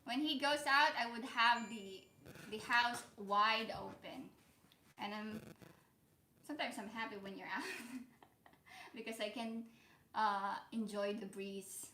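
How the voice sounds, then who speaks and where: A softly spoken woman co-hosting a live stream from home in a casual, chatty way. Her voice is bright and clear-edged. The room echoes, and a faint background noise sits under her. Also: fairly high pitch, slow pace, long pauses. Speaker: vlog co-host. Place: indoors at home.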